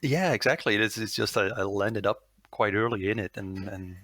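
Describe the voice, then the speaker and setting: A man's voice: fairly low pitch, normal speed, a crowd in the background. A man in his thirties from the Netherlands with a Dutch accent, talking through a headset on a video call, hesitant with many fillers and restarts. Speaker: guest, lead software developer. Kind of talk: casual interview chat.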